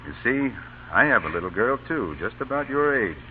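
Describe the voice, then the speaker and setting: An actor playing a measured, authoritative Union colonel, stern yet kindly, in old radio drama style. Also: male voice, medium pitch, sing-song, lightly acted. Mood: grave sympathy. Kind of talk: 1930s-1940s radio acting. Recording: tinny, hissy old radio broadcast.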